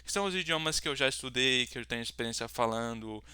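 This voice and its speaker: A young Brazilian man speaking with a Brazilian Portuguese accent. His voice is bright and crisp, and it sits dry and close to the mic. He talks like a tutor introducing himself, loose and rambling, full of fillers and restarts. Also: medium pitch, fast talker, no room sound. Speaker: language tutor. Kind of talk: casual self-introduction to camera.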